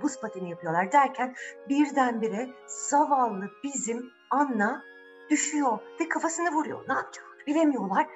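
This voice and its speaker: Woman doing kids storytime, super expressive n dramatic, chatty questions, bit of music behind. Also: on the high side. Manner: dramatic, asks the young listeners questions. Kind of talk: informal children's fairy-tale storytelling.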